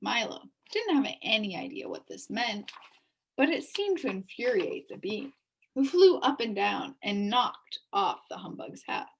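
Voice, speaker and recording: Young woman, storybook reader, lively pitch, slightly high voice. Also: vocal fry, a little hiss or hum, rough recording. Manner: unhurried, expressive. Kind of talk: reading a children's novel aloud.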